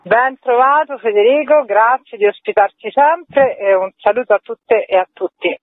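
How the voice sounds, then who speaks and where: A woman's voice: a little high, normal speed, no echo. Radio guest: woman organizer on a narrow phone line, dry sound, keen and emphatic promotional talk. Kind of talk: promotional radio interview, semi-spontaneous presentation. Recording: phone-like, narrow-band radio interview audio.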